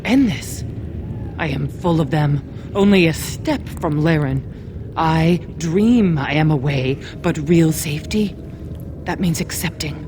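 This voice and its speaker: A haunted, half-mad woman from a fantasy game, speaks low and slowly. She rambles cryptically, despairing yet defiant, with clear ambient noise behind her. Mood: anguished, defiant. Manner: rambling, sing-song, cryptic. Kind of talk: dramatic video game voice acting.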